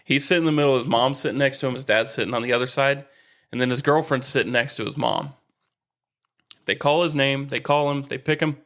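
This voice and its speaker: A man's voice: medium pitch, a little fast, fairly bright tone. An American man co-hosting a sports podcast in casual, bantering conversation.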